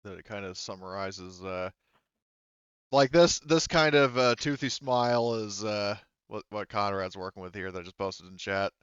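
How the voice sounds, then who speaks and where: A man acting as game master, narrating a tabletop roleplaying game in a casual, halting, sweary way. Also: fairly low pitch, fairly bright tone, clear, resonant tone, dry recording, highs cut off.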